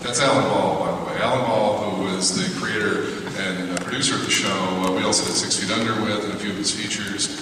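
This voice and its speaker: Man, low voice, relaxed rambling panel talk, distant mic, big echoey hall. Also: fast, background noise. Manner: relaxed, rambling, many fillers. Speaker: makeup effects panelist. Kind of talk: casual panel presentation. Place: large convention hall. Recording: echoey hall recorded from far off.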